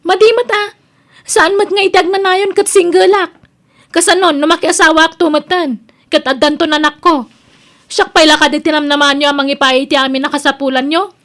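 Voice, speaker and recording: A woman's voice: sits high, fairly even intonation, a little fast, a bit bright. A woman with an Ilocano accent acting a resentful, nagging young daughter in a radio drama. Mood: indignant. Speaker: drama voice actor.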